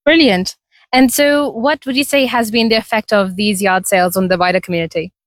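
A woman's voice: medium pitch, fairly even intonation, a little fast, no room sound. A woman working as a radio reporter, putting prepared questions to an interviewee.

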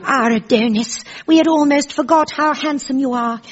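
A woman's voice: high voice, moderate pace, lightly acted. A woman playing a haughty, prim queen. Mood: gushing. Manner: pompous royal "we", prim. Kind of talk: broad sketch comedy with a live audience. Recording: dull lo-fi recording.

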